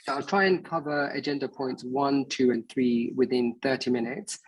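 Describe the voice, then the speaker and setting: A man in his early thirties with a somewhat husky voice, recorded close and dry. He talks through an online meeting in a loose, casual way, stopping often to restart his sentences. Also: mid-range voice, steady tone, moderate pace, no echo, low-quality recording. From Bangladesh, raised in England. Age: 30s. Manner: hesitant, many fillers and restarts. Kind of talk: casual presentation on a video call.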